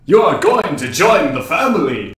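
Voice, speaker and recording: A man's voice: on the high side, big pitch swings, moderate pace, a bit bright. A man voicing a manic, menacing animatronic rocker in a theatrical, taunting way for a horror game. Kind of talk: horror game character voice lines. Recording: roomy echo.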